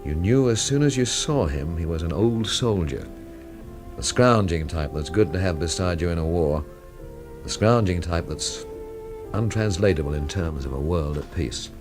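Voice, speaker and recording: A wry investigator in a radio mystery, with a lowish, very lively voice and a dry literary turn of phrase. Music plays behind him. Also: male voice, normal speed. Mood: wry narration. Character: dry, ironical male investigator narrating his own mystery. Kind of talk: radio drama acting and first-person narration.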